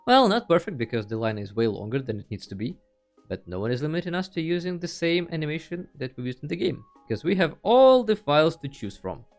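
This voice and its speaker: A man's voice: on the high side, sing-song, fast talker, soft background music. A man hosting a casual video tutorial, talking through each step in a playful, dryly joking way. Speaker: host of a video game tutorial channel. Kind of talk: casual tutorial screen narration.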